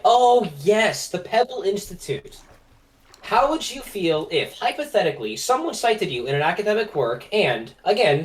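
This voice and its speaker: A man co-hosting a casual Q&A, joking and self-deprecating as he reads out questions, on a distant mic with noise suppression. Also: high voice. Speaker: co-host. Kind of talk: casual chat. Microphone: mic set far away, noise-suppressed.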